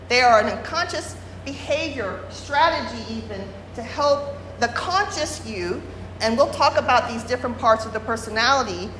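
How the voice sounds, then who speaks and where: Woman, workshop presenter, somewhat high voice, explanatory, roomy hall, faint background noise. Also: fairly bright tone. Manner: explanatory, many fillers and restarts. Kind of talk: workshop lecture. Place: workshop room at a retreat. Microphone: no microphone, room recording.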